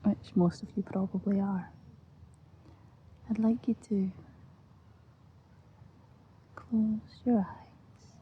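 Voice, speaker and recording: A Scottish woman speaks softly and breathily, often in a whisper, gently and soothingly guiding an ASMR visualisation with long pauses. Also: medium pitch, steady tone, slightly rough. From Scotland. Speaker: ASMR host. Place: outdoors, lying on grass. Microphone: close-up. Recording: muffled lo-fi outdoor recording, faint noise.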